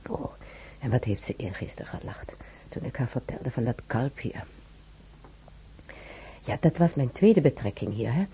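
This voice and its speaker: A woman's voice: extremely low pitch, warm tone, full character voice. A woman playing a talkative, wry old hospital patient and former maid in a radio drama, rambling colloquially and muttering to herself. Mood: wistful muttering. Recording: clearly noisy old radio recording.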